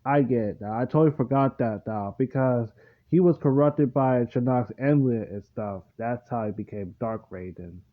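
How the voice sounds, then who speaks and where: A man reacting casually off the cuff, rambling and halting as he circles back to explain himself. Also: medium pitch. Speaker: reaction video host. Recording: muffled lo-fi mic with clear background noise.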